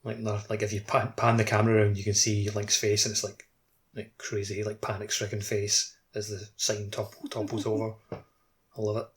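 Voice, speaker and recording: A low-voiced man chats casually as a gaming podcast co-host. His intonation stays quite flat, and his phrasing is loose and conversational. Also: fairly quick. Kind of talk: casual video game podcast chat.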